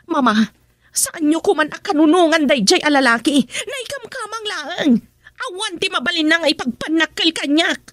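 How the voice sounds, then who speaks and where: An Ilocano actress playing a hot-tempered, nagging young wife in a radio drama. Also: female voice, extremely high pitch, lively intonation, moderate pace, a bit bright, no room sound. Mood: exasperated, indignant. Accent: Filipino, Ilocano.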